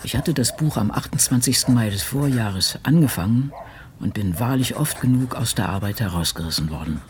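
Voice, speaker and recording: A man playing an ageing, solitary woman crime novelist in a radio drama, musing in a deliberate, wry way. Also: mid-range voice, expressive, darkish tone, a little hiss or hum, close to an everyday voice. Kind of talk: literary radio drama monologue, reading diaries and drafts.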